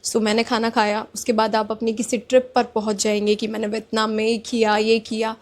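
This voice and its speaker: A young woman with an Indian accent, vlogging in a calm, polite, soft-spoken way and mixing Hindi with English. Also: on the high side, very fast. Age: young adult. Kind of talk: casual reflective vlog talk.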